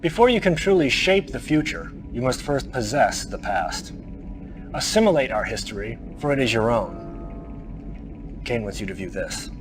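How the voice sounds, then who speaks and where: A man playing a smug, menacing militant officer who briefs a subordinate in a video game, theatrical, deliberate and condescending. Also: mid-range voice, big pitch swings, music in the background. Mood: solemn, commanding.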